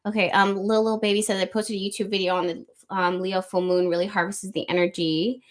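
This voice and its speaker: A woman hosting an astrology livestream, reading viewer comments aloud in a loose, rambling chat with a soft, slightly nasal voice, close to the microphone. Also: medium pitch, flat delivery, a little fast. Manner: chatty, rambling, lots of ums and likes. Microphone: close studio microphone.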